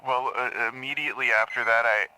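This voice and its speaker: A man's voice: medium pitch, steady tone, a little fast, background noise, lightly acted. An actor playing a troubled male patient in an audio drama, confused and haunted, stammering and sometimes dropping into a near-whisper. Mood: hesitant, subdued. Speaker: therapy patient.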